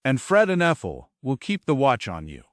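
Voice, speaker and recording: A man's voice: medium pitch, huge pitch swings, normal speed, no echo, studio quality. A man playing a benevolent but stern God in a stage table read, solemn and theatrical. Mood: solemn warning.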